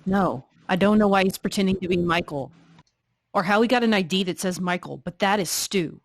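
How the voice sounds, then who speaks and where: A woman's voice: medium pitch, a little fast. A woman playing a tabletop game, roleplaying casually in character.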